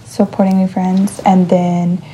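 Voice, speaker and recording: A woman's voice: on the low side, monotone, measured pace, warm tone, a little hiss or hum, rough recording. A woman from West Texas co-hosting a podcast, chatty and rambling in casual talk, listing ideas with lots of fillers. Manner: chatty, list-making, with um and like fillers. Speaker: podcast co-host. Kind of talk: casual chat.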